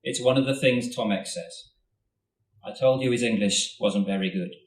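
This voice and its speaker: A man, an author, reading his own short story aloud at a festival event in a steady, measured way, recorded in a hall from a distance. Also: medium pitch. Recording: roomy hall, mic at a distance.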